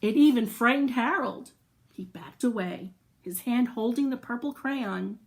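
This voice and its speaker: A woman's voice: on the high side, a little slow. A woman reading a picture book aloud to young children, expressively, with playful asides, questions and little sound effects. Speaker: children's storyteller.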